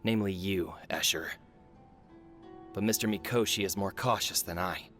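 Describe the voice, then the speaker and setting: An actor playing an earnest, grave forge overseer in a video game, solemn, accusing and deliberate as he confronts a traitor. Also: deep voice, a bit bright. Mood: grave, suspicious. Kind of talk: dramatic video game voice acting. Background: background music.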